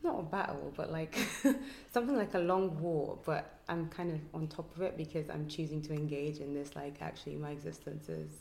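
Low, mellow woman, quiet and reflective. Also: vocal fry. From Nigeria. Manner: quiet, reflective, halting with ums. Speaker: hairstylist and artist. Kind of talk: casual interview answer. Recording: echoey room with faint noise.

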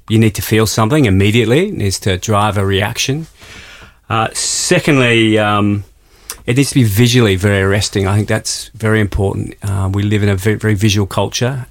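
A man, an agency chief executive, answering interview questions in a measured, thoughtful way with plenty of "uh"s. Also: on the low side. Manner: measured, thoughtful, many "uh" fillers. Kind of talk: casual interview chat.

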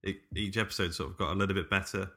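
A man hosts in a casual, conversational style. His voice is very low, yet bright and forceful in tone. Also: fairly even intonation, racing. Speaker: podcast host. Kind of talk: casual discussion.

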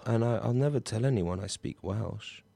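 A man's voice: fairly low pitch, moderate pace, vocal fry, dark tone, no room sound. A man playing an earnest, sheltered nine-year-old son in improvised comedy, reasoning hesitantly and stumbling over his words. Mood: hesitant, pleading. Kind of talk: improvised comedy role-play.

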